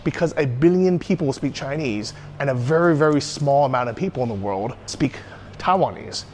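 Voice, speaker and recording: A man's voice: mid-range voice, lively intonation, normal speed, a little mellow. American guy ranting in a vlog, emphatic, asks his own questions then answers them, faint noise behind. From United States. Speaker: YouTuber. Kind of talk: opinionated solo vlog monologue.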